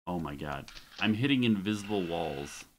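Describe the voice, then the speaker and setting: A man's voice: low-pitched, lively intonation, normal speed, darkish tone, a little hiss or hum. A man in his thirties streaming live gameplay commentary, swearing and venting frustration at the game. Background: game music.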